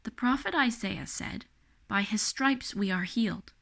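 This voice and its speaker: A woman voicing a kindly, earnest man who keeps a candy store, explaining gently and solemnly in a children's storybook. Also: medium pitch, a little slow, creaky.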